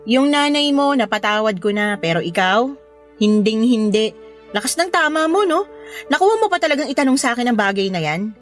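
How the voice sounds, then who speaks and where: A woman's voice: a little high, very animated, brisk, faint music behind. A woman acting as a fed-up, scornful young mother scolding her ex in a Filipino radio drama. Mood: scornful, irritated. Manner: sharp, scolding, sarcastic.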